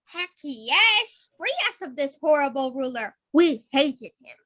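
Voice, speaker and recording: A woman's voice: sits high, sing-song, unhurried, sharp tone, full character voice. A woman voicing a resentful, blunt peasant who heckles and jeers in a comic puppet-show skit. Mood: jeering. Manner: blunt, jeering.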